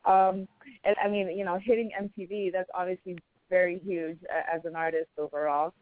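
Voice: medium pitch, flat delivery, a little fast, no room sound. An interview host reading an intro and asking questions in a hesitant, filler-filled way. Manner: hesitant, many fillers and restarts. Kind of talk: casual interview. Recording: tinny dry phone line.

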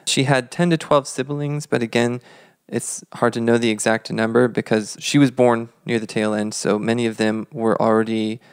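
A man in his thirties co-hosting a podcast, telling history in a measured, reflective, conversational way. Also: medium pitch, little pitch movement, clean tone, dry recording, clean studio sound. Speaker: podcast co-host.

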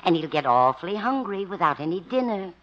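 An actress playing a gentle, knowing elderly mother of a grown son in a 1950s radio sitcom. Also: fairly low pitch, sing-song, a little fast. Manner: gentle, quietly persuasive. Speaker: supporting actress in a radio comedy. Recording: muffled old radio recording.

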